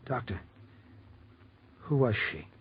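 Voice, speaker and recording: An actor playing a guilt-ridden young doctor in a 1940s radio drama, deferential, hesitant and subdued. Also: male voice, medium pitch, lively intonation, takes it slowly, husky, heavily acted. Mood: guilty, anxious. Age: young adult. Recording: muffled old radio recording.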